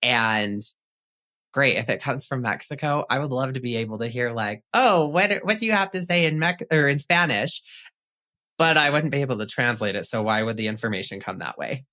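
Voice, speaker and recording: A man's voice: medium pitch, animated, normal speed, pushed and bright. A man being interviewed as a pet psychic on a podcast, chatty and upbeat, with some casual swearing.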